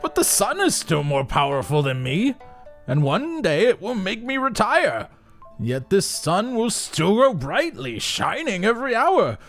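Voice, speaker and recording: A man's voice: high voice, wildly swooping pitch, a little slow, fairly bright tone, faint music behind, pitched above the performer's own voice, full character voice. A man playing a simple, jealous stonecutter in a folk tale, theatrical and emphatic as he craves more. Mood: envious. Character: simple, jealous, ever-grasping male stonecutter wishing for power.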